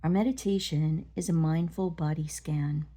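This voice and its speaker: A woman leading a guided meditation, speaking gently and deliberately in short phrases with pauses between them. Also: low voice, fairly even intonation. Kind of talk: guided body scan meditation.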